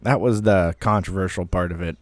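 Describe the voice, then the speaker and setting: A man's voice: sits low, steady tone, brisk, no echo. A man in his thirties telling a personal story casually, in a rambling, self-deprecating way with some mild swearing. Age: 30s. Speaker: online storyteller.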